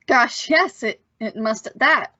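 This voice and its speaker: A woman's voice: on the high side, slow, pushed and bright, breathy edge, no echo, dull audio, full character voice. A woman playing a bashful, hesitant teenage boy in an old-time radio drama, stammering politely. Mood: nervous, hesitant. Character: bashful, evasive returned teenage boy, gushing gee-whiz politeness.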